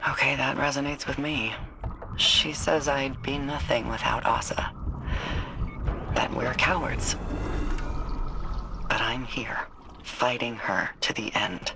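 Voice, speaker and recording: An actor playing a dogged, candid soldier under strain in a video game, plain-spoken and conversational. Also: male voice, mid-range voice, level tone, slow pace, long pauses, background noise. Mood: defiant confession. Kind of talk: video game character dialogue. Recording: loud music behind the voice.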